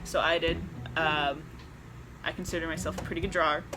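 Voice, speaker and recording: A woman's voice: low voice, little pitch movement, fairly quick, music in the background, low-quality recording. A young woman from Ohio, a contestant talking casually about her team with many fillers. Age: young adult. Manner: casual, filler-heavy talk. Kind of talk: confessional interview.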